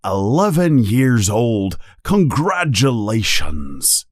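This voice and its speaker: A man voicing a booming giant gamekeeper in a storybook, hot-tempered yet kindly, with an emphatic, exclaiming way of talking. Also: sing-song, takes it slowly, no echo, clean studio sound. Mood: friendly.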